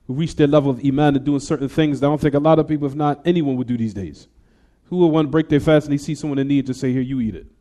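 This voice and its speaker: A man teaching a hadith class. He keeps asking the room questions and answering them himself, and he recites Arabic quickly between plain explanations. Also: mid-range voice, warm tone. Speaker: Islamic teacher.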